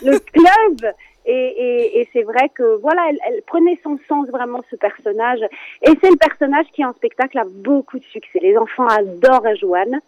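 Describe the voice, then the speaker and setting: A French woman with a French accent, a children's singer chatting as a radio guest, lively and quick to laugh. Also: a little high, dry recording, rough recording. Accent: French with Alsatian touch. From France. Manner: animated, laughs often, many fillers. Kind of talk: casual radio interview chat.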